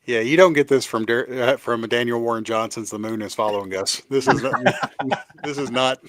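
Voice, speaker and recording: A man's voice: mid-range voice, normal speed, fairly bright tone, no echo. A man with a Kentucky accent co-hosting a casual chat over a video call, laughing often and restarting his sentences. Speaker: podcast co-host.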